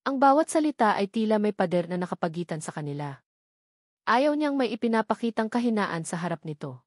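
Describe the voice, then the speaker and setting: A woman's voice: mid-range voice, big pitch swings, fairly quick, dry recording, studio quality. A woman reading a scripted dramatic story as its narrator.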